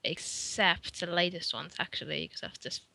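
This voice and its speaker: A woman from Finland chats as a podcast guest, her voice bright and a little low. She rambles with fillers and trails off softly, recorded close and dry with no room sound. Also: normal speed, gravelly, no echo. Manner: chatty, fillers and soft trailing asides. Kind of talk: casual chat.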